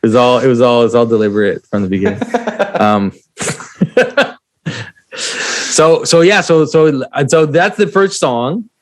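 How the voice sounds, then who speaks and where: A man's voice: mid-range voice, takes it slowly, pauses often. A man who is not a native English speaker, hosting a casual conversation and talking reflectively while searching for words. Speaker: host.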